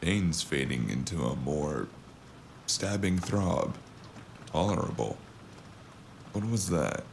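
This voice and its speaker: An actor playing a drained, wounded investigator in an audio drama, dazed and in pain but dryly wry and halting. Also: male voice, a bass voice, lively intonation, creaky, a little hiss or hum, full character voice. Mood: weary. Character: drained, wounded, sardonic male investigator, stubborn and groggy.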